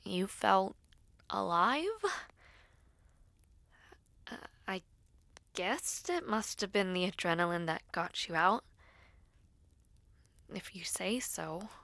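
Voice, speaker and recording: A woman acting an earnest, nervous school-age girl who dabbles in spells, speaking haltingly and intimately to the listener in an audio roleplay. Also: medium pitch, creaky, no room sound, exaggerated voice. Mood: puzzled, relieved. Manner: hesitant, halting, soft-spoken asides.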